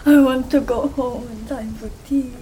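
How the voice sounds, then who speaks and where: A woman playing the dying ghost of a railway worker in an amateur audio drama, with drawn-out, pleading lines. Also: high voice, steady tone, warm tone, a little hiss or hum, close to an everyday voice, poor audio. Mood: mournful, dazed.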